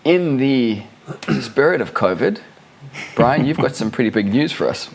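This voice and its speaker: Husky-voiced man hosting a podcast. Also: medium pitch, expressive, a little slow, slight background noise, low-quality recording. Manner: chatty, laughing storyteller with many restarts. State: hoarse from a cold. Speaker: podcast host. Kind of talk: casual podcast chat.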